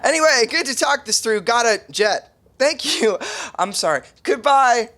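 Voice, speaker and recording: An actor playing a nervous, awkward young sitcom roommate who rambles, stammers and apologizes. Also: male voice, extremely high pitch, expressive, a little slow, pushed and bright, heavily acted. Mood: flustered, anxious. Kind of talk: sitcom acting.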